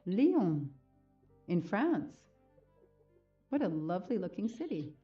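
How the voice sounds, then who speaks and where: A woman's voice: on the low side, big pitch swings, takes it slowly, lo-fi sound, faint music behind. A woman playing a compassionate detective thinking aloud in a video game's inner monologue. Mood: reflective.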